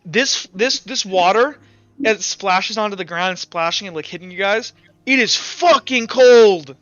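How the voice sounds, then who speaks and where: A man's voice: high-pitched, moderate pace, a bit bright, no room sound, lo-fi sound. A man working as game master, narrating a tabletop roleplaying game in a casual, sometimes profane way.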